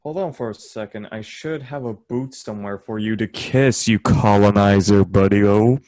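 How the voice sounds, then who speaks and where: A man's voice: on the low side, normal speed, darkish tone, no room sound. A young man co-hosting a casual podcast, rambling through jokey tangents and laughing often. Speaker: podcast co-host. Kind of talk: casual chat.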